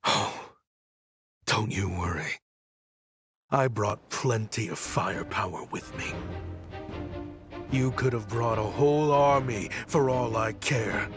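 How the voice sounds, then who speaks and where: A man's voice: medium pitch, measured pace, few pauses, over music, natural voice. A man voicing a bitter, remorseful weapons researcher in a video game, grim and intense. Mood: cold. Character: bitter, remorseful adult male weapons researcher seeking revenge.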